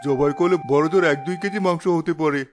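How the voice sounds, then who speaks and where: Man voicing a high-pitched, sneering crony. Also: fairly even intonation, fast talker, lightly acted. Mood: mocking. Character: sneering male crony of a rich villager. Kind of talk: cartoon dialogue.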